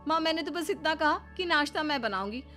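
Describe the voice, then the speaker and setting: Woman acting high-voiced resentful young wife. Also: lively intonation, brisk, pushed and bright, soft background music. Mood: accusing. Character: resentful young daughter-in-law, dutiful but quarrelsome. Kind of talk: dramatised Hindi family story.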